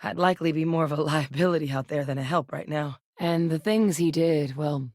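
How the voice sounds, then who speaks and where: A woman playing a seasoned ex-military scientist in a video game, steady and earnest, with a measured, serious delivery. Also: low-pitched, dry recording, clean studio sound, close to an everyday voice. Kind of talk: video game character voice lines.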